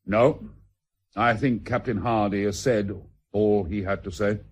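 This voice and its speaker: An actor playing a fiery, vain British admiral with an emphatic, explosive manner, in a radio drama. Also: male voice, on the low side, fairly even intonation, unhurried, husky. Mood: challenging.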